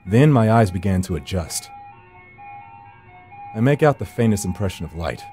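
A man with a fairly low, mellow and dark voice narrates a first-person horror story. His delivery is brooding and theatrical, suited to a visual novel, with faint music behind him. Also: animated, moderate pace.

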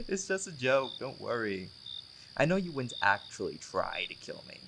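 A man acts out a centuries-old vampire with an easygoing, teasing charm. His pitch moves in lively swings as he chats casually, as if to a friend in an audio roleplay. Clear night noise of crickets and insects sits behind him. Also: unhurried. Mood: teasing, cocky. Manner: joking, teasing, often chuckling.

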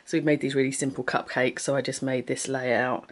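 A woman's voice: extremely low pitch, flat delivery, brisk. A woman in her forties with a sore throat and a sniffly cold, chatting casually in a vlog from her home craft room, rambling and restarting as she shows her work. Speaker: craft vlogger. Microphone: camera close to face, then pointed down at the desk.

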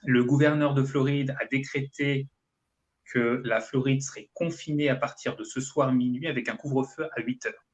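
Frenchman explaining in conversation. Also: medium pitch. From France. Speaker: guest, real estate investment coach. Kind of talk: conversational live interview answers. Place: indoor room, video call.

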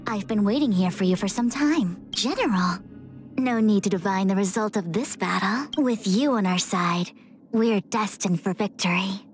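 Actress doing a haughty formal game diviner girl, mid voice, soft music. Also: medium pitch, normal speed, lightly acted. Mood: proud, formal. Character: proud, formal young female fortune-teller strategist. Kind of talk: video game voice acting.

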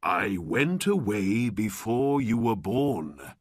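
A man voicing a sly old uncle in a storybook, secretive and theatrically emotional, with slow dramatic delivery. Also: on the low side, sing-song, husky, no echo, studio quality, voice pushed up, full character voice. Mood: fond, coaxing.

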